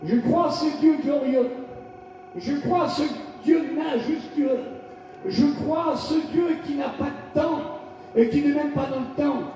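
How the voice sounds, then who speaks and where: Man, pastor, from France, high pitch, shouted call-and-response preaching, reverberant hall, far from mic, faint hum. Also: steady tone, unhurried. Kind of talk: fiery revival sermon. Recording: echoey hall, distant lo-fi mic.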